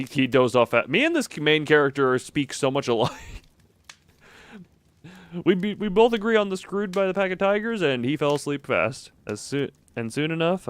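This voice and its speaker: Smooth-voiced man reading a visual novel. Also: medium pitch, animated, normal speed. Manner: reads narration evenly, breaks off for chuckling asides. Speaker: streamer.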